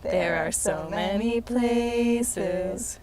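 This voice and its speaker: A woman voicing Tuna, a cheerful puppet who sings a slow rhyming song and tells silly jokes to children. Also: a little high, over loud music. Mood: singing. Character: cheerful joke-telling puppet named Tuna. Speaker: children's puppet show performer.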